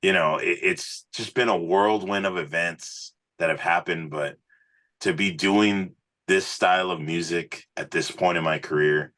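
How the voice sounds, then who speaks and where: A man with a low-pitched, forceful voice talks like a musician being interviewed. He is earnest and informal, telling his story in a loose, conversational way peppered with little fillers. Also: steady tone, a little slow. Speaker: musician, singer, podcast guest.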